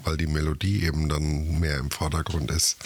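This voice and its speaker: A man's voice: a bass voice, level tone, normal speed, a little hiss or hum. A man, a musician and cartoonist talking hesitantly in a casual podcast conversation, full of fillers and self-doubt. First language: German. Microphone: podcast microphone.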